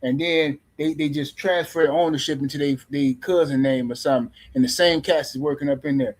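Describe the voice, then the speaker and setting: Male stream host, slightly high-pitched, loose teasing delivery, rambling casual talk. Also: steady tone, fairly quick. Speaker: boxing livestream host. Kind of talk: casual live-stream commentary.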